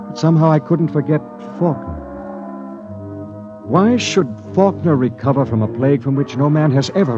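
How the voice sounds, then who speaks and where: Over a dull vintage radio recording with music, an actor plays a male expedition captain in a science fiction radio drama. He is grave and driven, speaking at a middle pitch with urgent, deliberate dramatic delivery. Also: close to an everyday voice. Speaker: narrator and lead actor. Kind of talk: old-time radio drama acting and narration.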